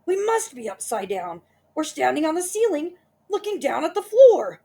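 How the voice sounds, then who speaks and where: Lady doing a grumpy old guy yelling and flustered, pitched way up. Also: big pitch swings, moderate pace, vocal fry, exaggerated voice. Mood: blustering alarm. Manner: blustering, yelling. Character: grumpy, nasty old man, easily rattled.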